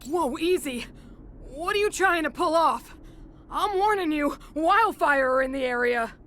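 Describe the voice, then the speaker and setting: A woman's voice: fairly high pitch, expressive, unhurried, a bit bright, a little hiss or hum. A woman voicing a defiant, plain-spoken female miner in a video game, earnest in manner. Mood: wary, warning. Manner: scolding, earnest. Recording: game music behind the voice. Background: background music.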